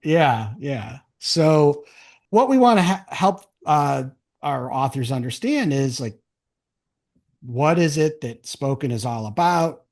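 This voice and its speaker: A man's voice: fairly high pitch, animated, slow, a little mellow. A man hosting a casual interview show, talking informally and rephrasing his questions as he goes.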